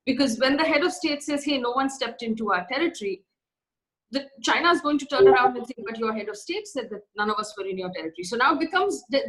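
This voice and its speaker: A woman's voice: on the high side, a bit bright, rough recording. A woman with an Indian accent puts pointed questions to her guest in a somewhat raised voice. Her questions are articulate and well framed, like a journalist's. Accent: Indian English. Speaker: interviewer host.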